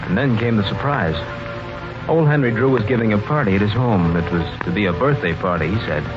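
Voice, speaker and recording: Actor as an earnest young radio mystery hero. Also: male voice, lowish voice, a little fast, natural voice. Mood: tense narration. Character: earnest, lovestruck young man narrating a murder mystery. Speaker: narrator and lead character. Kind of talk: 1940s radio acting. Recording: muffled 1940s radio, loud music behind.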